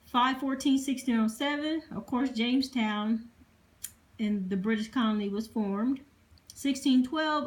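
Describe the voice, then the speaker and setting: Higher-voiced woman reading history notes haltingly. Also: a little mellow, poor audio. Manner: halting, spells out difficult names letter by letter. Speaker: amateur history presenter. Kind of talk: informal lecture reading dated notes aloud.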